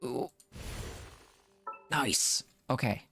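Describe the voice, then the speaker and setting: A man's voice: mid-range voice, drawn-out words, gravelly, sharp tone, soft background music. A man giving casual gameplay commentary as a streamer, thinking aloud and muttering to himself. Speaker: game streamer.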